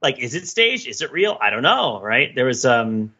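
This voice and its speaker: A man in middle age hosts a casual podcast with a very bright, forward voice that has a husky edge. He tells stories in an animated, conversational way. The recording is slightly dull and lo-fi. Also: medium pitch, normal speed. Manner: animated storyteller, acts out imagined dialogue, laughs while talking. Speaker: podcast host.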